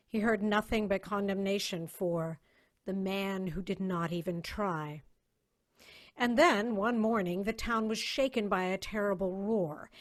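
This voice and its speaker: A woman reading scripted story narration in a dramatic style, as the narrator. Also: medium pitch.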